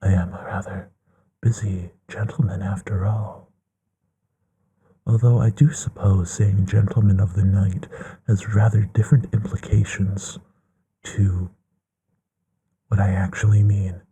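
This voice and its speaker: A man playing a courtly, bookish, gently affectionate vampire in an intimate ASMR bedtime roleplay, musing in a breathy hush that often slips into a whisper. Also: lowish voice, level tone, heavily acted. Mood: drily amused. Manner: musing, genteel, unhurried with pauses.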